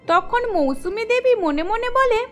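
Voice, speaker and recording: A woman narrating a cartoon story and voicing its characters in a quick, lively way. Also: extremely high pitch, faint music behind. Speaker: narrator and voice actor.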